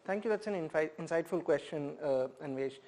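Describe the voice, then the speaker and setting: A man from India, a poet and professor, speaking as an interview guest in a thoughtful, measured way, often pausing with "uh" as he gathers his thoughts. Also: on the high side, darkish tone, a little hiss or hum, poor audio. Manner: thoughtful, measured, many "uh" pauses. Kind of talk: reflective conversational interview.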